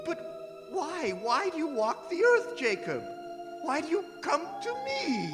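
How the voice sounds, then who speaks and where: A stingy old miser in a theatrical radio play. His voice is extremely high for a man and leaps up and down as he blusters, stammers and pleads. Music plays underneath. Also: huge pitch swings, slow, in a large hall, exaggerated voice. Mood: frightened, pleading. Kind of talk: stage radio drama acting.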